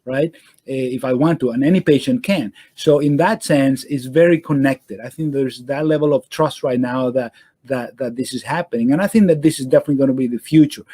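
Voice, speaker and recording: A middle-aged man from Venezuela, answering interview questions in a halting way, full of fillers and restarts, over a video call. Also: medium pitch, warm tone, husky, no echo. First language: Spanish. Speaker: guest surgeon.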